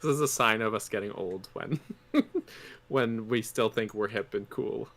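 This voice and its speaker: Twenty-something guy on a podcast call, gravelly and bright, laughs a lot, voice jumps all over. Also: on the low side, normal speed. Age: 20s. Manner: animated, laughs often. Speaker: podcast guest and streamer. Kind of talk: casual chat.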